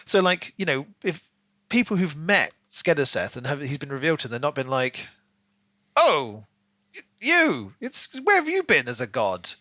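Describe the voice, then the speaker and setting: A man talks casually as a podcast co-host, with a bright, forceful voice. His pitch moves a great deal and his manner is animated. The sound is dry, with little room around it. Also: normal speed, dry recording. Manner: animated, acts out imagined lines in playful voices. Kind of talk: casual book discussion chat.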